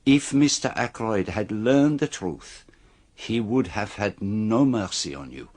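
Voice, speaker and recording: A courteous, shrewd older male detective in a radio drama. His voice sits fairly low and his words are deliberate and exact, with a formal politeness even as he calmly accuses. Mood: accusing, firm.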